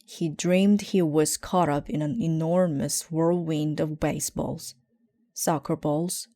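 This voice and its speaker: A woman reading a children's story aloud in a measured, expressive way. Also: deep voice, dry recording, studio quality. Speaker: storybook reader.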